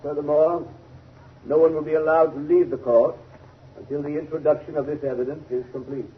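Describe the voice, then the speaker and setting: Casting: man as authoritative judge, formal and commanding, highish voice, muffled old-time radio. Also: steady tone, brisk, natural voice. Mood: stern, formal. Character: stern, authoritative male judge. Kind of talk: 1930s radio acting. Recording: dull, noisy 1930s radio recording.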